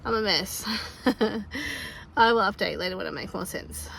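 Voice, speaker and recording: Croaky aussie mum chatting to her phone outside, cars going past the whole time. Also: mid-range voice, moderate pace. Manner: chatty, laughs between sentences. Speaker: family vlogger. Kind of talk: casual vlog chat. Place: outdoors by a busy road. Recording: clear traffic noise outdoors.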